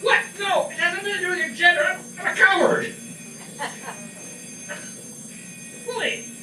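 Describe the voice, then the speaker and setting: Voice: very high voice, fairly even intonation, quick pace, over music. An actor playing a cowardly, frantic spaceship first officer in a comic live radio play, stammering and urgent. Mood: nervous. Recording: distant mic in echoey room, faint noise.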